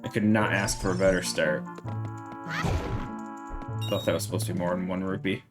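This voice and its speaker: Streamer, male, very low voice, loose chatty play-by-play, game music underneath. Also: steady tone, moderate pace. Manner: chatty, thinks aloud, answers chat, swears casually. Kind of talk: casual gameplay commentary.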